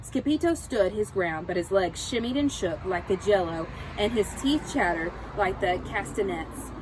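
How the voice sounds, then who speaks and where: A woman reading a children's story aloud in an expressive, dramatic way, with Spanish words sprinkled in. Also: medium pitch, normal speed, background noise. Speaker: storybook reader. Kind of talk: animated children's read-aloud.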